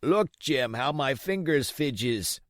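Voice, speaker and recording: A man voicing a sick, desperate old pirate in a storybook, wheedling and blustering in rough sailor's dialect. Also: medium pitch, expressive, slow pace, fairly bright tone, no room sound, clean studio sound, voice pushed up. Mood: desperate pleading. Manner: rough nautical dialect, pleading and cursing.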